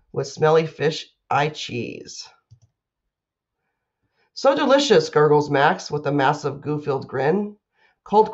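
A woman's voice: lowish voice, muffled recording. A woman, a school principal reading aloud and making announcements to children.

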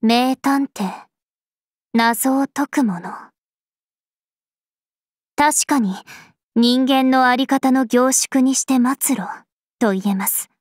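Regal, slow-speaking vampire princess. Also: female voice, sits high, dry recording, clean studio sound, exaggerated voice. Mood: serene, pensive. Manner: formal, poised, measured. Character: regal, serene vampire princess spirit of the planet. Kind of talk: scripted mobile game character voice lines.

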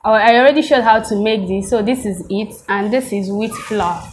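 A woman's voice: medium pitch, normal speed. A woman from Nigeria hosting her cooking channel, explaining steps and leading a casual food review at a home table. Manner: chatty, instructive, says "um" often. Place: home dining room, seated at a table. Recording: noisy home recording.

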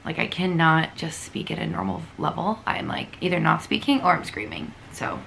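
A woman's voice: low voice, big pitch swings, normal speed, creaky, fairly bright tone. A young woman vlogging casually to camera from a hotel bed, her voice scratchy, her talk chatty and gushing. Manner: chatty, gushing, filler-heavy. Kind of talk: casual vlog chat to camera. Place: hotel bedroom, sitting up in bed.

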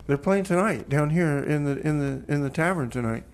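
A man's voice: on the high side, a little fast. A man co-hosting a comedy radio show with casual, slangy, easygoing slacker banter. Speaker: radio music show co-host. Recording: background noise and ambience.

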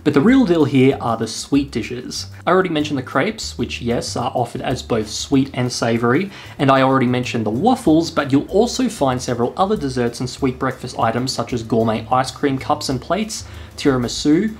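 A young man with a chatty, self-deprecating manner, presenting a scripted travel review while seated indoors. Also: mid-range voice. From Australia. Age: young adult. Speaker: travel vlogger. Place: indoor room with brick wall and couch.

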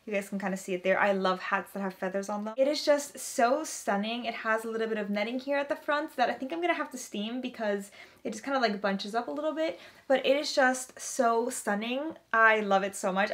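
Young female haul vlogger, highish voice with slight strain, informal and excitable delivery. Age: young adult. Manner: gushing, enthusiastic. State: slightly strained voice, throat-clearing. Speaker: vlogger showing a vintage clothing haul. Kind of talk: casual chatty haul vlog to camera. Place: small furnished bedroom, indoors.

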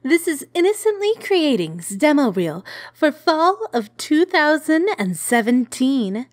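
A woman's voice: high voice, sing-song, a little slow, dry recording, clean studio sound. A woman reading a scripted introduction as a voice actor presenting her demo reel. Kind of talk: scripted narration.

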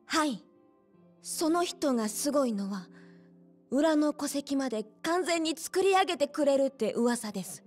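High female voice, gentle young hostess, courteous, earnest, video game cutscene, soft music behind. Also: animated, unhurried. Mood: pleading, serious. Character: polite young Chinese hostess, gentle and pleading.

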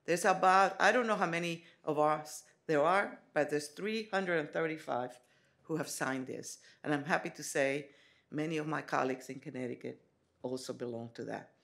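Woman from the dominican republic, college president talking at a forum, gravelly voice, lots of uh and um, big meeting room. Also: low-pitched, fairly bright tone. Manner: halting, with many fillers and restarts. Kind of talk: forum address.

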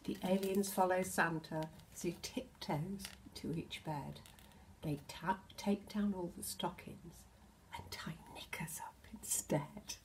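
A British woman in her sixties reads a children's picture book aloud, expressively, with gentle asides addressed to the children. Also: fairly low pitch, steady tone, slow pace, in a whisper. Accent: British English. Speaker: storyteller, infant school teacher. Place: indoors in a home hallway.

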